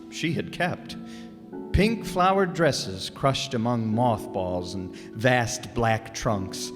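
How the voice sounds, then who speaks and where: A man playing a formal old-time radio announcer and storyteller, reading scripted narration. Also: a little high. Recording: reverberant hall with music behind.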